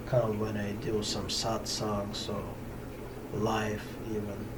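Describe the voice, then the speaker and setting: Slow-spoken man, distant mic. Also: fairly low pitch. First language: Russian. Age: middle-aged. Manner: halting, pauses to find words, many fillers. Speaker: yoga teacher. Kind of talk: slow unscripted talk to camera. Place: small hot room in a Brooklyn home ashram. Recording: camera from a distance with steady background noise.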